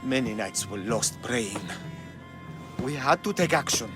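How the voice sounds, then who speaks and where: An actor playing a stern, fanatical priest, solemn and ominous, in a dramatic game cutscene. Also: male voice, medium pitch, lively intonation, unhurried, over music, lightly acted. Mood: solemn justification. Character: stern, fanatical male priest, grimly resolved.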